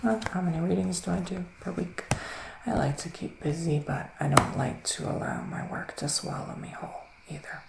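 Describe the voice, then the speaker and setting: Soft, creaky-voiced man whispering as a witch. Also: fairly high pitch, steady tone, reverberant, noisy background. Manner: soft-spoken, soothing, unhurried, with pauses. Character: gentle, reassuring male witch giving psychic readings. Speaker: ASMR performer playing a psychic reader. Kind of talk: whispered ASMR roleplay.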